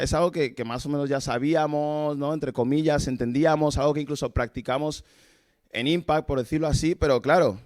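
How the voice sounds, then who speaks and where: Man, from cameroon, evangelist preaching in church, informal sermon, rhetorical questions. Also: on the high side. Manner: informal, asks rhetorical questions, chuckles between points. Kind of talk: conversational sermon. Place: church service.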